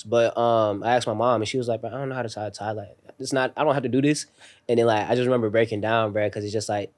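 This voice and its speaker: A young man speaks as a podcast guest, telling stories in a loose, slangy way full of "like" and "bro." His voice sits low and stays fairly level, with little rise and fall. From raised overseas in a military family. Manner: laid-back, slangy storytelling with many fillers. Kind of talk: casual podcast conversation.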